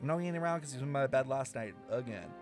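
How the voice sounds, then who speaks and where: A man's voice: medium pitch, expressive, rapid-fire. A young man livestreaming a game with casual, sarcastic, slangy and profane commentary over music.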